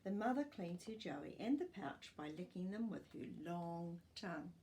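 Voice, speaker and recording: Older lady doing storytime for little kids, mellow lowish voice, expressive read-aloud. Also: a little hiss or hum. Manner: expressive, deliberate read-aloud delivery for young children. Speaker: storytime reader and host. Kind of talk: reading a children's non-fiction book aloud, with short asides. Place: small furnished living room, indoors.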